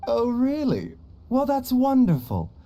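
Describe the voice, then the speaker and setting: An actor playing a calm, courteous and gentle doctor in a video game, speaking in a measured, formal way. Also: mid-range voice, full character voice. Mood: gracious.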